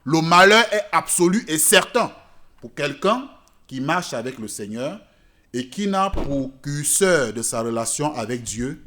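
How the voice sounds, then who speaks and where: A man preaching a church sermon in French, which is not his native language, swinging between loud emphatic peaks and quiet asides. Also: medium pitch. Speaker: pastor. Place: church service.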